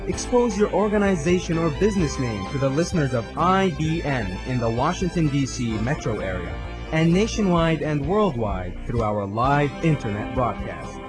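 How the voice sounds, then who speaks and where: A man delivers a persuasive radio ad with an upbeat, lively pitch that swings up and down. Music plays clearly behind him. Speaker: announcer. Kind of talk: radio advertisement.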